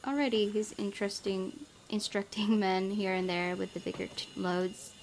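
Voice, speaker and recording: A woman hosting a gaming video, reading story text aloud and chatting informally about it with expressive reactions. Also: medium pitch, normal speed, slight background noise. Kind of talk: reading a game's narration aloud with casual commentary.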